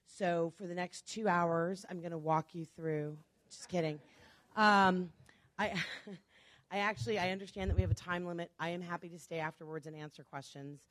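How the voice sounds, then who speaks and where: Woman, low voice, speaks fast, chatty club talk, jokey asides, dry close sound. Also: fairly even intonation, no echo. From Chicago. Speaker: guest speaker. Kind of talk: public talk to a club audience.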